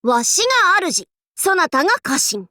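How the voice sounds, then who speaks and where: A high, very animated young warlord girl for an anime game, recorded close and dry. She speaks in grand archaic lordly phrases, imperious and boastful, yet slips readily into playful clowning. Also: moderate pace, no room sound, full character voice. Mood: stern, menacing. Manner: archaic lordly speech, haughty, abruptly switching to goofy. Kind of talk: Japanese anime game character voice lines.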